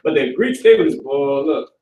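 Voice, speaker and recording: A man's voice: a little high, expressive, normal speed, a little mellow. A young man leading a live class, talking in loose, profane street speech and pressing his students with questions. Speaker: class teacher. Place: classroom with stage and banners, indoors. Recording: echoey room, voice from a distance.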